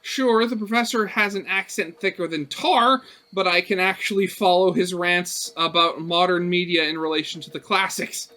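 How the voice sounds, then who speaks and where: High, bright-voiced young man reading aloud. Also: steady tone, normal speed, few pauses. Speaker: let's play host. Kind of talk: reading a visual novel aloud with casual commentary.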